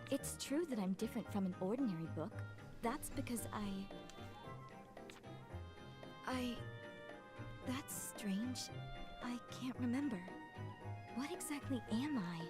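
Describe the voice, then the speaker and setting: A woman's voice: fairly high pitch, lively intonation, moderate pace, long pauses, lightly acted. A woman playing an unflappable talking book in an anime game, speaking in a composed, formal, matter-of-fact way. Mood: puzzled, composed. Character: blunt, unflappable female talking book with lost memory. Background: game music.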